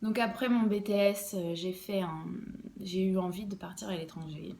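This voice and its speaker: A woman's voice: medium pitch, steady tone, moderate pace, creaky, a little mellow, a little hiss or hum. A young woman, an embroidery designer, explaining her work in a casual podcast interview, hesitant and full of fillers and restarts.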